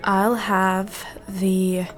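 A woman's voice: mid-range voice, flat delivery, drawn-out words. A woman playing a bitter, sardonic, hard-drinking housewife, curt and cynical, in a period audio drama. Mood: curt, dry.

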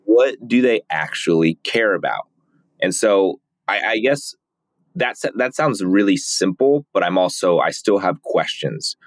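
Very deep-voiced male host. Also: animated, a little slow, no room sound. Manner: curious, note-taking, asks follow-ups. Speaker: podcast host. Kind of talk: interview questioning.

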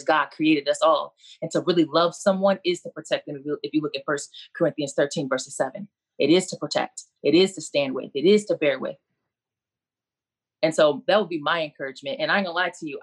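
A woman's voice: deep voice, poor audio. A woman coach speaking as a panelist on a video call, earnest and preacherly, repeating her key phrases. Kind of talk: impassioned faith-based speech on a video call.

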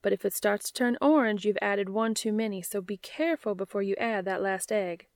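A woman reading the part of a calm, precise female baking teacher in a story, explaining step by step. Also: medium pitch, fairly quick, dry recording, studio quality, lightly acted. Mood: instructive.

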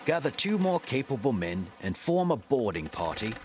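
An actor playing a stern, commanding naval captain in a seafaring audio drama, formal and curt. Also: male voice, mid-range voice, sing-song, normal speed, noisy background, natural voice. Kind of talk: period seafaring radio drama acting.

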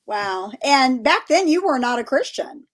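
A woman's voice: high voice, sing-song, unhurried. A woman hosting a faith-based discussion, asking her guests questions and encouraging them. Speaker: host.

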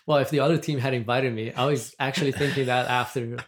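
A man's voice: medium pitch, fairly even intonation, brisk. A man from Brazil with a Brazilian accent, a podcast guest in casual chat who rambles and restarts as he talks. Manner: chatty, rambling, with frequent restarts and laughs.

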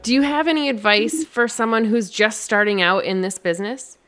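A woman's voice: a little high, normal speed, a bit bright, smooth, soft background music. A woman hosting a podcast, introducing the show and its topics in a friendly, plainspoken way. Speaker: podcast host. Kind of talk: scripted podcast intro and narration.

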